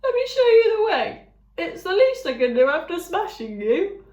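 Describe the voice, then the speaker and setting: A high, strained, tearful male ram blubbers his apologies, as a woman puts him on for a storybook reading. He is friendly and clumsy, and his voice comes out loud and squeaky. The recording has a roomy echo. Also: very animated, moderate pace, muffled recording.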